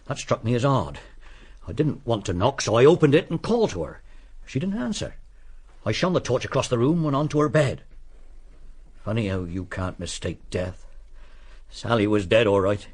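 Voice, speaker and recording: A man's voice: mid-range voice, animated, moderate pace, long pauses, a little mellow, husky. An actor playing a blunt, defensive murder suspect, a grudging little man, in a radio detective drama. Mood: sombre, haunted.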